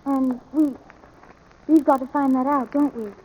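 Eager ranch kid, american boy, high voice, earnest n unsure, vintage radio drama sound. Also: fairly even intonation, unhurried, phone-quality sound. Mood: worried. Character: eager, anxious young ranch boy devoted to his filly. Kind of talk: 1940s radio drama acting.

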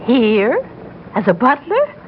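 An actress playing a polite but plain-spoken new housekeeper in 1960s radio drama style. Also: female voice, on the high side, wildly swooping pitch, takes it slowly, exaggerated voice. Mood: frank, questioning. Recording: dull, noisy 1960s radio recording.

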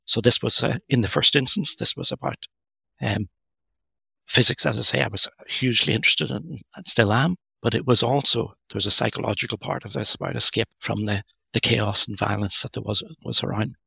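A middle-aged man talks through his ideas in a thoughtful, halting way, often restarting his sentences. His voice is very gravelly and a little husky, with a bright, forceful edge. The recording is close and dry. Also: mid-range voice, dry recording. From Northern Ireland. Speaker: guest author. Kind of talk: podcast interview.

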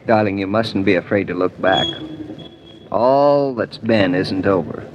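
An actor playing a gentle, reassuring young husband with a tender, slightly hesitant manner in a 1940s radio drama. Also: male voice, lowish voice, measured pace, lightly acted. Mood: wistful, reassuring. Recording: muffled 1940s radio recording, faint noise.

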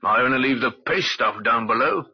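An actor playing a kindly, canny elderly shopkeeper in an old-time radio drama. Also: male voice, medium pitch, moderate pace, slightly rough. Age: older. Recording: dull old radio recording.

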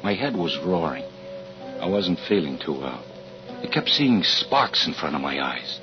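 Low-voiced haunted husband, old radio. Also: male voice, animated, unhurried. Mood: weary, haunted. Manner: dramatic, intense, brooding. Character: troubled husband, a fire inspector, haunted and desperate. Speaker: actor and first-person narrator. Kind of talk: 1940s radio thriller acting. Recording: muffled old radio recording with music.

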